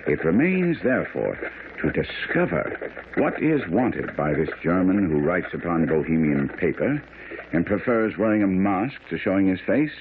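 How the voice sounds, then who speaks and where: An incisive, self-assured detective in a radio drama. He has a deep, animated voice and lectures with precision. The old recording is thin and faintly noisy. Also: normal speed, phone-quality sound. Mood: keen, instructive.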